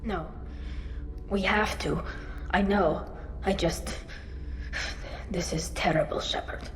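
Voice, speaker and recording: Casting: young alien female engineer, video game drama. Earnest, defiant, grief-laden, halting delivery, roomy reverberant sound. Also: lowish voice, fairly even intonation. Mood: somber resolve. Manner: earnest, halting under emotion. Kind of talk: cinematic video game voice acting. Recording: roomy echo, long reverb.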